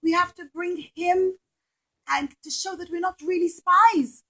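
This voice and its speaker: A woman's voice: extremely high pitch, fairly even intonation, measured pace, a bit bright, voice pushed up. A woman playing earnest brothers who protest and plead in a children's puppet-show story.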